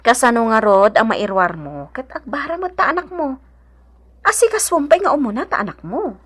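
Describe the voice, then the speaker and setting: A moderately high voice with an Ilocano accent. It is a kindly, helpful neighbour woman in a radio drama, speaking in an earnest, reassuring way. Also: sing-song, normal speed, husky.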